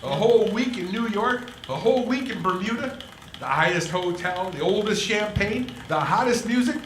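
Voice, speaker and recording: Man playing impassioned young husband, high animated voice. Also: moderate pace, a little hiss or hum. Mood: elated. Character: impassioned, headstrong young small-town man in love. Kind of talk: live 1940s radio play acting. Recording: roomy live stage recording.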